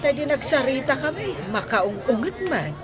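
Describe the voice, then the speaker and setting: A woman's voice: high-pitched, very animated, moderate pace, music in the background. A woman playing an older, gossipy aunt in an Ilocano-accented radio drama. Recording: busy outdoor market crowd noise.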